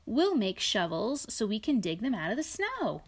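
A woman's voice: on the high side, sing-song, moderate pace. A woman voicing a helpful old elf in a storybook read aloud, close to her own voice. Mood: curious.

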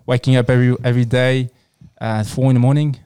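A man from France with a French accent, answering casually as an interview guest in a halting, repetitive way. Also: mid-range voice, flat delivery, mellow, clear, resonant tone, no room sound, clean studio sound. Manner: halting, repeats words and phrases.

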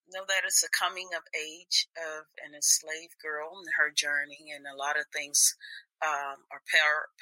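A woman with a lowish, strikingly bright voice talks as an interview guest. She takes her time, speaking conversationally at a very slow pace. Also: dry recording, poor audio. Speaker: featured guest, storyteller and singer.

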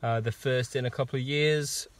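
A hoarse man with a husky voice and a sore throat, a fitness coach talking casually to viewers with many pauses and ums. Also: medium pitch, fairly even intonation. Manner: rambling, with many ums and restarts. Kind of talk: casual live video talk.

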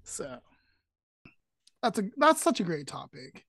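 A woman in her thirties chats as a podcast co-host. Her voice is mid-pitched and recorded close with almost no room sound. She rambles easily, filling gaps with "like" and "um.". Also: big pitch swings, slow pace, no echo. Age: 30s. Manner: chatty, heavy use of "like" and "um" fillers. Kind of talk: casual chat.

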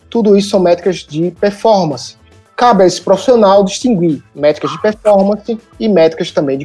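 Brazilian Northeastern male host, mellow tone, lively and explanatory. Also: high-pitched. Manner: upbeat, explains step by step and prompts guests. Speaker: podcast host. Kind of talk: casual podcast chat.

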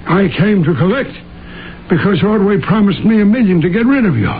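A man's voice: sits high, lively intonation, a little fast. An actor playing an embittered middle-aged American ex-pilot turned gunman in a radio drama, blunt and dramatic. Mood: intense, bitter. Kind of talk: 1970s radio drama acting. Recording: tinny, noisy old radio broadcast.

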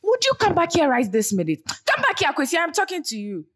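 A woman's voice: extremely high pitch, huge pitch swings, quick pace, a little hiss or hum, heavily acted. A woman with a Ghanaian accent playing a hurt, reproachful single mother in an audio drama, speaking dramatically and emphatically. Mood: stern, angry. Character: hurt, scolding single mother of a teenage son.

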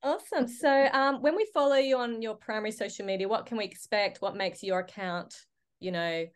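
A woman's voice: medium pitch, brisk. Upbeat woman co-hosting a podcast. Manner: casual, upbeat, filler-heavy. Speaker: podcast co-host. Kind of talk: casual podcast interview.

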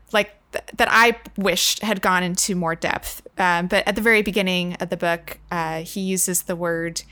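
A woman's voice: medium pitch, pushed and bright. A woman co-hosting a book discussion, talking conversationally and searching for her words as she goes. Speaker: book discussion podcast co-host.

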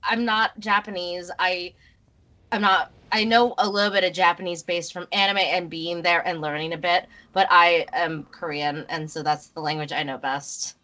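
Bright-voiced chatty woman co-host. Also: on the low side, fairly even intonation, normal speed. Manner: chatty, lots of "like" fillers. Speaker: podcast co-host. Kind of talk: casual chat.

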